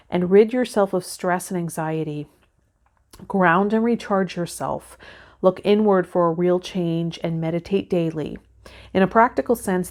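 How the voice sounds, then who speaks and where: Low-pitched woman, reflective vlog host. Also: a little mellow. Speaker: tarot video host. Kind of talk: casual reflective vlog talk to camera, partly reading card meanings aloud. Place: indoors, small home filming set with fabric backdrop.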